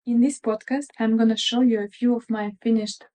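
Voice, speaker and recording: Woman, Russian accent, thirties, mellow tone, a bit high, shy and friendly, casual chat. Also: fairly even intonation, a little fast. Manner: friendly, a little shy, chatty with frequent fillers. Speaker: crafting vlogger. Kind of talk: casual crafting video chat.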